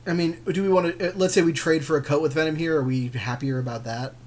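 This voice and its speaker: A man's voice: a little high, steady tone, fast talker, darkish tone, a little hiss or hum. A man co-hosting a game podcast, chatting casually and playfully about his moves, with jokes and swearing. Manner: playful, jokey, profane. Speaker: podcast co-host playing a card game draft. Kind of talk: casual game commentary between friends.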